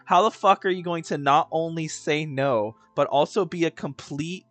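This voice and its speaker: A man's voice: fairly high pitch, normal speed, fairly bright tone. Blunt, slangy man reacting to a game. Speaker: gaming streamer. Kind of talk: casual gameplay reaction commentary. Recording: faint game music behind the voice.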